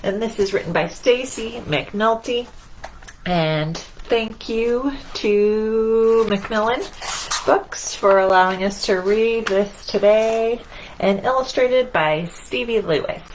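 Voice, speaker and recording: A woman's voice: a little high, takes it slowly, a little hiss or hum, rough recording. A woman hosting a library storytime, presenting picture books and addressing young children as friends.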